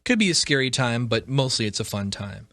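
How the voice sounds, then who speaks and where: A man's voice: mid-range voice, sing-song, a little fast, a bit bright, no echo. A man, perhaps middle-aged, giving a casual, opinionated podcast monologue as host, stressing his points emphatically. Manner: emphatic, repeats phrases for stress.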